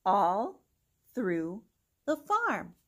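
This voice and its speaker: Slow, very animated young woman reading to children. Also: mid-range voice. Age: young adult. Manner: animated, slow, exaggerated delivery for small children. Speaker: children's read-along host. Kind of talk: reading a picture book aloud to young children. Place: small indoor room with bookshelf.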